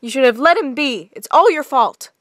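An actress playing a distraught, accusing bystander in a superhero audio drama, highly emotional. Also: female voice, expressive, moderate pace, a bit bright, no echo, studio quality.

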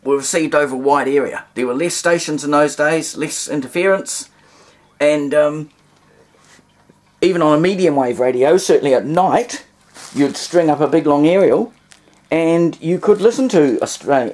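A man's voice: fairly high pitch, moderate pace, darkish tone. A man giving a casual, unscripted demonstration in a hesitant, rambling way with wry asides. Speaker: vintage radio hobbyist demonstrating.

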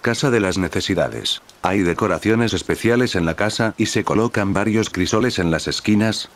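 A man reading a fan fiction story aloud in a plain, even narration. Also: low voice.

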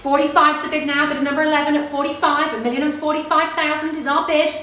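A woman in her thirties with an Australian accent, working as an auctioneer and calling bids live with brisk, upbeat sales patter. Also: sits high, steady tone, sharp tone, far from the mic. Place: small office studio in front of a backdrop. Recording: echoey room, voice heard from off the mic.

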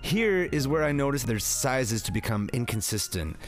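A man's voice: mid-range voice, big pitch swings, normal speed. Sarcastic male movie-review host, faint music. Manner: slangy, sarcastic. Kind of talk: jokey, profane scripted film recap with banter.

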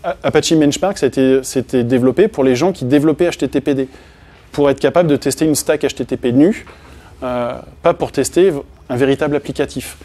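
Man, 30s, conference speaker, mellow tone, hesitant, halting restarts, meeting room. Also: mid-range voice. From France. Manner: casual, many hesitations and restarts. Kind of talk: technical conference talk. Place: meeting room with projector and small audience.

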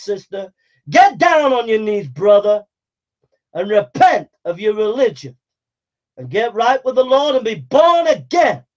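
A high-pitched man preaching with fierce urgency, repeating his lines again and again as he calls listeners to repent. His voice comes through a dull, muffled recording. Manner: exhorting, repetitive, mocking mimicry of flattery. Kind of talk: fiery sermon.

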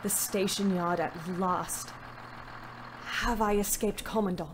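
An actress playing a weary, bitter young ambulance driver, narrating a Great War audio drama in a wry, dramatic way. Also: female voice, medium pitch, fairly even intonation, slow pace, background noise, natural voice. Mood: tense, frustrated. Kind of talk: first-person audio drama monologue.

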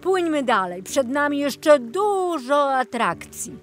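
A woman's voice: sits high, very animated, slow pace, faint music behind, voice pushed up, exaggerated voice. A woman playing an enthusiastic, friendly man who guides children on a boat tour in an audiobook, exclaiming and explaining. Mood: eager.

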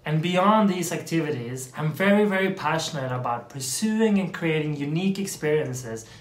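A man's voice: a little high, expressive, normal speed, reverberant. A young man from Norway with a Norwegian accent, co-hosting a couple vlog and introducing himself in an upbeat, slightly formal, self-deprecating way from a small room at home. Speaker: vlog co-host.

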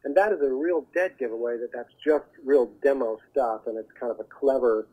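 A man giving explanatory advice as an interview guest over the telephone, haltingly searching for his words. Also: mid-range voice, warm tone, slightly rough. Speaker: guest, voiceover agent. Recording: muffled narrowband phone line.

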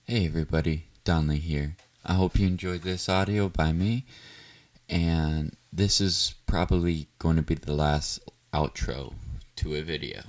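A man's voice: extremely low pitch, fairly even intonation, unhurried. A man who makes audio roleplays, speaking casually to his listeners in an outro.

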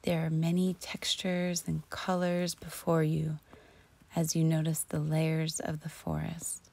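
Female meditation guide: very slow, soothing delivery, soft mellow tone, breathy and near-whispered. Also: sits low, monotone. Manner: soothing, slow and deliberate with long pauses. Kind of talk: guided imagery relaxation narration.

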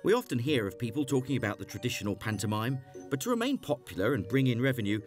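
A man's voice: mid-range voice, big pitch swings, fast. A man reading scripted documentary narration. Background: music.

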